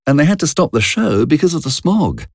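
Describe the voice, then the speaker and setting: A man's voice: a little high, sing-song, a little fast, vocal fry, darkish tone, dry recording, studio quality, pitched above the performer's own voice, exaggerated voice. A man voicing an anxious, well-bred young woman who speaks in a prim, hesitant way. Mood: evasive.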